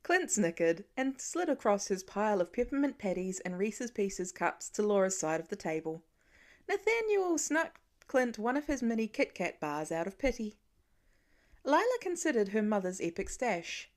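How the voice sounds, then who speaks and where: A woman reading fiction aloud as scripted, expressive story narration. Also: medium pitch, normal speed. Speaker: amateur audiobook reader.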